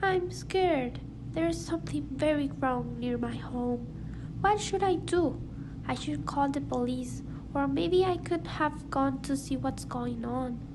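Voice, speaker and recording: A woman playing a frightened farmer lady in an amateur radio drama, speaking slowly and deliberately. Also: high voice. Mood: scared, worried. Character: worried farmer lady frightened for her killed goats. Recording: noisy amateur home recording.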